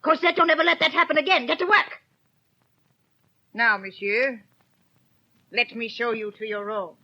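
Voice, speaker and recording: A woman's voice: a little high, normal speed, pushed and bright. An actress playing a greedy, bullying innkeeper's wife in a 1930s radio drama, scolding those beneath her and fawning on guests. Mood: fawning, calculating. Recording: dull vintage 1930s radio recording.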